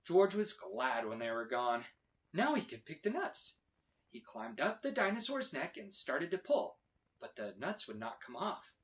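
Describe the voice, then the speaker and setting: A man reading a children's storybook aloud in a dramatic, expressive way with emphatic delivery. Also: a little high. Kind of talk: animated scripted storybook read-aloud.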